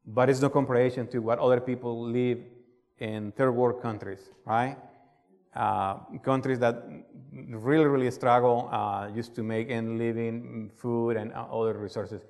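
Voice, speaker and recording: A man's voice: medium pitch, steady tone, a little slow, a little mellow. A man with a Colombian Spanish accent preaches in earnest, putting questions to his listeners. His mid-range voice carries a long reverb tail, as if he stands in a large hall. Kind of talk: sermon with Bible reading.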